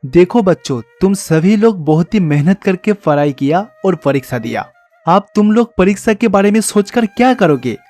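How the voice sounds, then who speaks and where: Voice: mid-range voice, darkish tone, close to an everyday voice. Kindly male teacher-god played by an actor, storybook tale, patient mentor delivery, music bed. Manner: patient, advising, encouraging.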